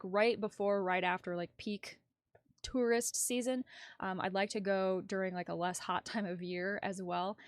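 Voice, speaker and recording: A woman's voice: mid-range voice, dry recording, studio quality. A woman hosting a casual livestream news commentary, chatty and rambling as she thinks aloud. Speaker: livestream host.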